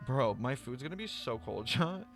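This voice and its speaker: A young man streaming casual, playful game reactions, excitable and slangy with plenty of swearing. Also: medium pitch, normal speed. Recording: game music behind.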